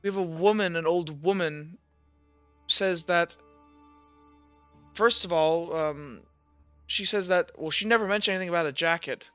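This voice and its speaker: A man voicing a skeptical, methodical female commander who questions a witness in a halting, matter-of-fact way for a tabletop game. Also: high voice, fairly bright tone, clear, resonant tone, dry recording, highs cut off, faint music behind. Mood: grave, skeptical.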